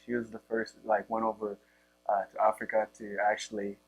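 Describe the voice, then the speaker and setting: A teenage young man with a Congolese accent answering interview questions casually and haltingly, in informal speech. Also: on the low side, monotone, soft background music. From Democratic Republic or Republic of the Congo. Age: teens. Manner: halting, informal, leans heavily on "like". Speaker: high school football player being interviewed. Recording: muffled, with faint electrical hum.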